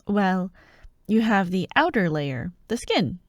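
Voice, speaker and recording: A woman playing an excitable, teasing young childhood friend who loves Halloween, in an ASMR roleplay addressed to the listener. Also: mid-range voice, takes it slowly, dry recording, studio quality. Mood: chatty, proud. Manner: playful, teasing, animated, with frequent giggles and mock drama.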